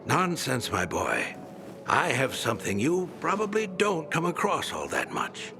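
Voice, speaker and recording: Fervent older flatterer, higher voice, very animated and theatrical, game character. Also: male voice, measured pace, over music, heavily acted. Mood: fervent, flattering. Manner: theatrical, emphatic. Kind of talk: video game voice acting.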